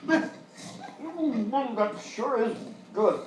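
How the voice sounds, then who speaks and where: An actor playing a falsely cheerful, patronizing children's TV host in a live comedy parody. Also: male voice, very high voice, slow. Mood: strained cheer. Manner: exaggerated jolly, patronizing. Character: forced-cheerful grown-up male children's TV show host. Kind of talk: parody of early local kids' TV, live sketch acting. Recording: roomy live stage, distant mic, faint noise.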